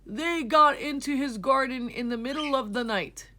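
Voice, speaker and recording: A woman's voice: sits high, normal speed, bright, forceful tone, slight background noise, pitched above the performer's own voice. A woman voicing an aggrieved, defensive male shepherd in a children's story, complaining and wailing. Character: aggrieved, defensive shepherd man, sorry for himself.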